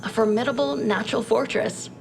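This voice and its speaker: An actress playing a confident military scientist who briefs a commander in a brisk, businesslike, wryly conversational way. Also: female voice, fairly high pitch, vocal fry, noisy background. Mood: businesslike, explanatory. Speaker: research chief and mission briefer. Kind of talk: scripted game mission briefing.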